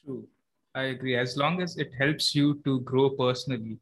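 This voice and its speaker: A man's voice: mid-range voice, moderate pace, a bit bright, a little hiss or hum, low-quality recording. A man hosting a podcast, asking questions in a casual, agreeable way. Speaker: podcast host. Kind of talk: conversational interview.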